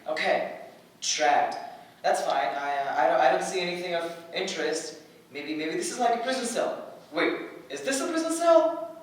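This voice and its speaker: A man acts a fussy, fretful air spirit in a stage play, talkative and prim. The voice is high and comes from a distance in a very echoey room. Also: lively intonation, normal speed. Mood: nervous, fretful. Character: fussy, anxious, chatty air elemental named Aya.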